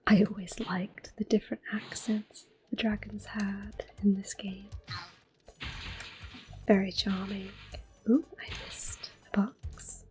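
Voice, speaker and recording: A woman softly whispering gentle, unhurried ASMR commentary over a video game, with a breathy, hushed voice. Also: medium pitch, little pitch movement, low-quality recording. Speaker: gameplay host. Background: game music.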